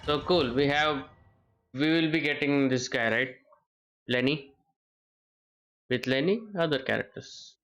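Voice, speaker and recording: A man giving casual live gameplay commentary, halting and muttering to himself as he streams. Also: mid-range voice, fairly bright tone, highs cut off. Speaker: game streamer. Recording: game music behind voice. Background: game music.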